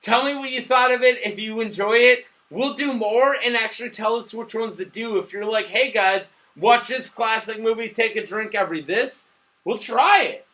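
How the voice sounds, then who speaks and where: A drunk man co-hosting a casual, sweary movie review, excitable and given to shouting. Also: high voice, moderate pace.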